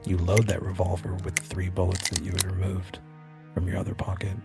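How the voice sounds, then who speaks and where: Low-voiced man narrating a horror game. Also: monotone, fairly quick, gravelly, loud music behind the voice. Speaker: game master and show host.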